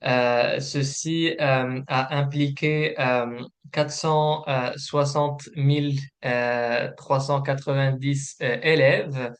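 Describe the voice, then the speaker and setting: A man presenting a scripted informational webinar in a formal, hesitant manner with frequent filled pauses. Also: medium pitch, fairly even intonation. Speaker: program manager presenting a webinar.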